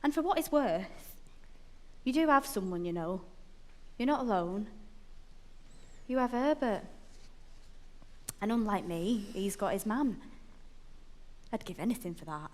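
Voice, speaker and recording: A woman's voice: mid-range voice, lively intonation, measured pace, long pauses, a little mellow, lightly acted. A woman playing a frank, disillusioned young person in dialogue from a stage musical. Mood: earnest.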